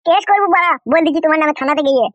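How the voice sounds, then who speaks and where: A man's voice: extremely high pitch, racing, no echo, highs cut off. A man voicing a hot-headed, gullible village husband in a comic cartoon, blustering and whining in a rural West Bengal accent. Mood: indignant. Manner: blustering, whining, mispronounces words.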